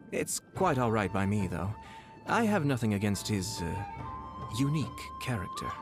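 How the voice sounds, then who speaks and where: A man voicing a composed, courtly young clan lord in a video game, polite and measured, with a slyly teasing, quietly amused manner. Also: lowish voice. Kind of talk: scripted video game character lines. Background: background music.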